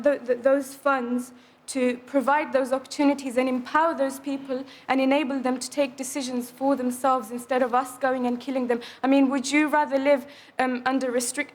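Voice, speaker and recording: Earnest woman, flat high voice. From Afghanistan. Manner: earnest, questioning. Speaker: audience member. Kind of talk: live debate contribution.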